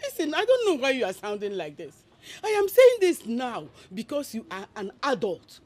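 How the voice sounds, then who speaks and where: A woman's voice: on the high side, huge pitch swings, moderate pace, darkish tone. A woman playing a mother who earnestly pleads with her grown son, emotional as she speaks.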